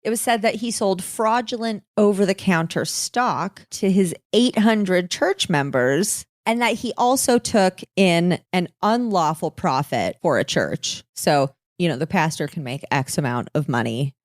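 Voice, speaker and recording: A woman with a slightly low voice tells a true-crime story as a podcast co-host. She is informal and wry, at ease with swearing. The sound is close and dry, with little room on it. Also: very animated, a little slow, dry recording.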